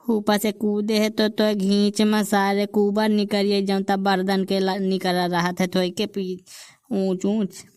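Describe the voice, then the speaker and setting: A woman playing a slow, pompous Bundelkhandi quack doctor. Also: mid-range voice, completely flat delivery, a little mellow, clean tone, no room sound, studio quality, close to an everyday voice. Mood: diagnosing. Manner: self-important, drawn-out boasting.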